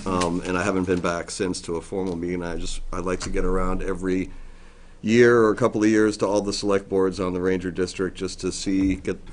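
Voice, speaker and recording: A middle-aged man, a forest district ranger, giving a loud, plainspoken briefing to a town board with frequent ums and restarts. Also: low voice, slight background noise. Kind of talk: informal briefing at a public meeting. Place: town meeting room.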